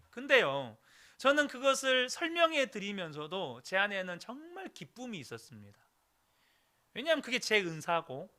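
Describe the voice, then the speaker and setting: A man in his thirties delivers a sermon, speaking straight to the congregation in an earnest way. His voice sits high and rises and falls widely. The tone is very bright and forceful. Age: 30s. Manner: earnest, direct address to the congregation. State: recovering throat, clears throat. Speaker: pastor.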